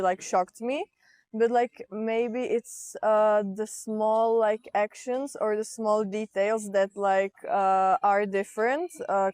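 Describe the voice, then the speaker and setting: A 21-year-old woman from the Czech Republic gives a casual interview answer, pausing often with fillers. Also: medium pitch, darkish tone, no room sound. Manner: hesitant, many fillers. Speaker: exchange participant.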